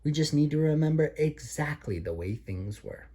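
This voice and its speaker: A man's voice: a little high, lively intonation, normal speed, dark tone, husky, pitched above the performer's own voice. A man voicing a confident, take-charge elephant in a children's storybook, with emphatic delivery. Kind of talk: children's storybook read aloud.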